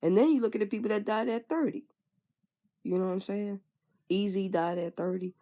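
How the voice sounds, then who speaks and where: A woman's voice: mid-range voice, brisk, dark tone. A woman in her twenties frankly venting in a candid personal monologue, swearing casually and trailing off with fillers. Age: 20s. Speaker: vlogger.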